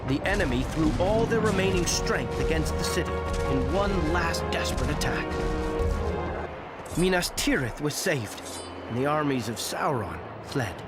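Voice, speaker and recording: Man as an animated hobbit storyteller, fairly high-voiced. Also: normal speed, close to an everyday voice. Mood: dramatic, storytelling. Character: kindly hobbit storyteller recounting adventures to children. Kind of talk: scripted storybook narration. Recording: loud music under the narration.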